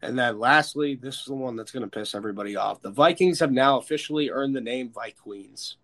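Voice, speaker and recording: An American man hosting a casual, sweary sports chat, opinionated and emphatic, with a stuffed-up, slightly hoarse voice. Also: mid-range voice, a little fast, fairly bright tone. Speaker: sports podcast host. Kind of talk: casual, profane football chat.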